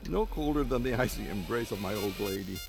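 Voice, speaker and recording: An actor playing a wisecracking, grumbling security guard in an audio drama, with gruff, profane banter. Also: male voice, lowish voice, expressive, fairly quick, loud music behind the voice, rough recording. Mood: wry grumbling.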